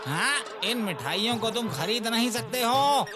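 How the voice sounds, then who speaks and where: A woman's voice: on the high side, sing-song, measured pace, a crowd in the background. A woman voicing a touchy, grumpy male sweet-shop owner in a cartoon, scolding and defensive.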